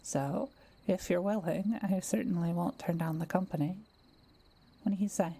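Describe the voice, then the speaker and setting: Kind solitary forest witch, played by a woman, lowish mellow voice, unhurried and softly playful, for roleplay audio drama. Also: steady tone, husky. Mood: cozy, friendly.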